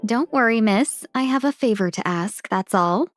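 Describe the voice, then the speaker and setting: A woman's voice: on the high side, expressive, moderate pace, faint music behind, natural voice. A woman playing a courteous, playful young fox-tailed merchant in a video game, speaking with polite, coaxing formality. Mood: apologetic, coaxing. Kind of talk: English video game voice acting.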